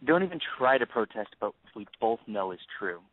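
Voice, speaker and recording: A man playing a younger, falsely friendly schemer with a deliberate, flattering manner in an audio play. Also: lowish voice, no echo. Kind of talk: audio stage-play acting. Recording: tinny narrowband phone-like line.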